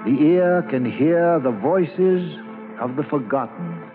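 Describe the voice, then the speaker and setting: An old radio drama recording with music behind it. an elderly actor looking back on his boyhood, unhurried and lyrical, his voice rising and falling expressively. His delivery is wistful and stagey. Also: fairly high pitch, sing-song, narrow, tinny audio. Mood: wistful, reflective. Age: older. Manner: theatrical, measured, lyrical. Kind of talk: 1940s radio drama narration.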